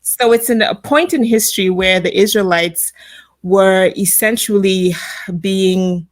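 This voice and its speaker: A woman co-hosting a faith podcast, retelling a Bible story in a relaxed, storytelling way. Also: medium pitch, unhurried. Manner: storytelling, acts out quoted lines. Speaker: podcast co-host. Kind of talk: casual faith podcast chat.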